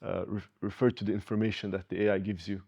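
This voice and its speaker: A teenage boy student speaking carefully and in a measured way. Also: lowish voice, level tone, vocal fry, darkish tone. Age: teens.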